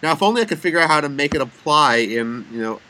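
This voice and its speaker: A man's voice: fairly high pitch, expressive, fast, noisy background. A man co-hosting a casual programming chat, rambling and laughing often, with a self-deprecating manner. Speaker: podcast co-host.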